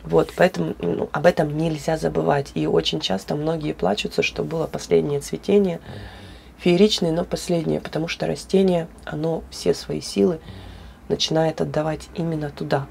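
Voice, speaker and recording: A woman with a hoarse voice, chatting casually and animatedly in a hobby plant vlog, with laughs and fillers. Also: low voice, steady tone, moderate pace, mellow, a little hiss or hum. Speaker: orchid grower.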